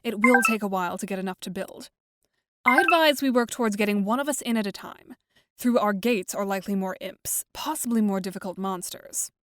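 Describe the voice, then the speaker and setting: A woman playing an analytical teenage girl strategist in an audio drama, measured, articulate and businesslike. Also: mid-range voice, fairly bright tone, no room sound, clean studio sound, natural voice. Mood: composed, businesslike.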